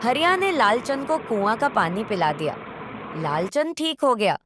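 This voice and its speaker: A woman's voice: a little high, very animated, moderate pace. A woman telling a story as narrator, reading scripted narration. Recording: faint background noise.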